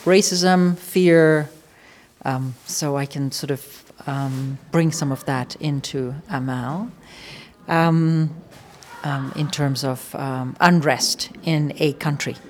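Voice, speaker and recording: A woman's voice: low voice, steady tone, slow pace, a little mellow, slight background noise. A woman from Kenya, a theatre actor, talking thoughtfully about her role. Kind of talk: interview.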